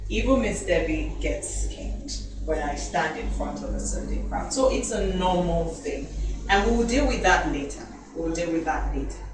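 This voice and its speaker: A woman's voice: fairly low pitch, animated, moderate pace. Woman, elocution teacher, questions the crowd, very roomy, distant mic. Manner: asks the audience questions, repeats for emphasis. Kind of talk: classroom talk to teenagers. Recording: roomy hall from a distance, music behind.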